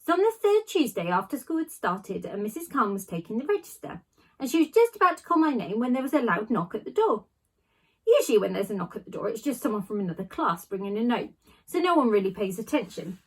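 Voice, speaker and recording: Slightly husky woman reading a children's story. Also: a little high, very animated, fast, poor audio. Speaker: teacher reading aloud to a class. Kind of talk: expressive read-aloud of a children's novel.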